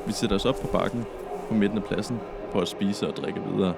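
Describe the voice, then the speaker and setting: A man's voice: on the low side, gravelly. A young man narrates his own story as a scripted podcast. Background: music.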